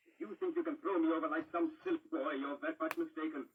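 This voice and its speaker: A man's voice: fairly high pitch, big pitch swings, fast, gravelly, background noise. A man playing a jealous, hot-headed young painter, melodramatic in old radio drama style. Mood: accusing, threatening. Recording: muffled old radio broadcast, distant.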